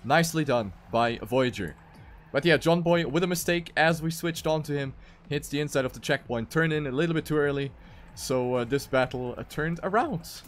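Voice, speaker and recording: A man's voice: on the high side, moderate pace. A man doing live commentary on an esports racing game as one of the casters. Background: music.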